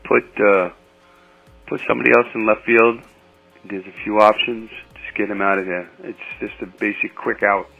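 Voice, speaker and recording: Phone caller, man, creaky voice, casual sports fan talk, narrow tinny voicemail sound. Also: on the low side, steady tone, normal speed, faint music behind.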